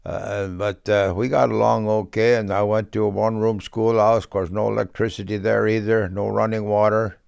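Gravelly centenarian Midwestern man. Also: fairly low pitch, flat delivery, darkish tone, dry recording. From rural Minnesota. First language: Norwegian. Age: about 100. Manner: halting, folksy storytelling. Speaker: interviewee, auctioneer. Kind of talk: recorded reminiscence.

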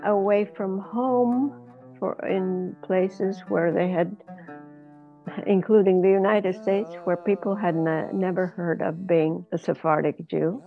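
A woman's voice: fairly low pitch, creaky, narrow, tinny audio. An older woman from Izmir, telling her life story unhurriedly as an interview guest and laughing often. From Izmir, Turkey. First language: Ladino. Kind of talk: remote interview, personal story.

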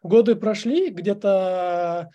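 A man from Kazakhstan recalls his past in a fairly high, mellow voice. He hesitates as he goes and fills the gaps with short sounds. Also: slow. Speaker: videographer. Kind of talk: personal storytelling.

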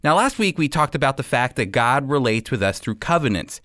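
A man with an American accent hosting a radio program, teaching the Bible in an emphatic, deliberate way. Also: mid-range voice, very animated, fairly bright tone, no room sound, studio quality.

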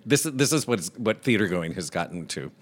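A man with a gentle, somewhat low voice that doesn't carry far. He co-hosts a relaxed talk show and spins a story with plenty of animation, slipping into little impressions of the characters he describes. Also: normal speed. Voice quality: soft voice. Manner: animated storyteller, mimics people in anecdotes. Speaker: podcast co-host. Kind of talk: casual storytelling chat.

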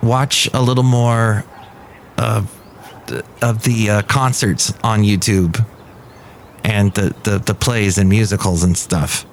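A man's voice: fairly low pitch, steady tone, slow pace, a little hiss or hum. A middle-aged man hosting a podcast in a café, talking in a rambling, chatty way that keeps interrupting itself and drifting off topic. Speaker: podcast host. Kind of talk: rambling casual monologue.

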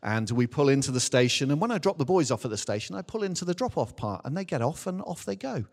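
A middle-aged man preaching as a minister, telling a personal story in a chatty, self-deprecating, animated way. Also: a little high, fast talker, creaky. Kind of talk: anecdotal sermon, informal storytelling. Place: large indoor church hall. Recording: reverberant hall, live church talk.

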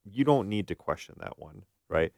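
A man's voice: low-pitched, expressive, moderate pace, vocal fry, darkish tone, no echo, clean studio sound. A man co-hosting a talk show as a therapist, giving casual, explanatory advice and often asking questions to check for agreement.

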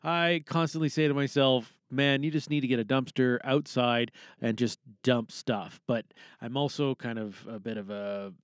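A man's voice: medium pitch, steady tone, normal speed, smooth, no room sound, clean studio sound. A Canadian man hosting a podcast, talking in a casual, rambling, self-deprecating way. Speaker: podcast host. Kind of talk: casual rambling solo chat. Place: small recording booth.

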